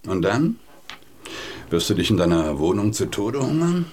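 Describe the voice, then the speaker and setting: A man's voice: on the low side, big pitch swings, normal speed, a little mellow. An actor playing a rich, sardonic male moneylender who teases a man in debt in a radio drama. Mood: mocking. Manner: drawling, mocking, teasing.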